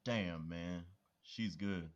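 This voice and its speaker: A man's voice: low voice, very slow, highs cut off. A man playing a cheeky young best friend who loves food and talks in loose, slangy banter. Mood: impressed. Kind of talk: amateur audio drama acting.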